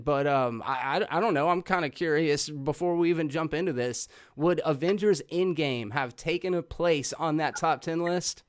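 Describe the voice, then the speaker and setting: A man with a slightly high voice hosts a movie talk show. He speaks in a casual, chatty style and keeps it informal, like a conversation among friends. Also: clear, resonant tone. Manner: informal, swearing. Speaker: host of a movie review show.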